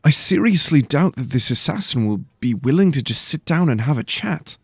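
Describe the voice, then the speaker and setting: Man playing nervous, cautious pupil, slightly raised pitch. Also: expressive, moderate pace, slightly rough, dry recording, studio quality. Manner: hesitant, sheepish. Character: nervous, cautious, polite young male pupil. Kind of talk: fantasy audio drama acting.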